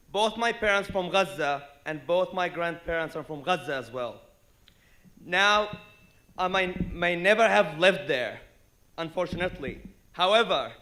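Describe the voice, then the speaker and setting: A man speaks with defiant passion at a rally. His voice is high and very bright, almost sharp, and he repeats his key lines for emphasis. The delivery is heartfelt and emphatic. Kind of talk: impassioned personal speech.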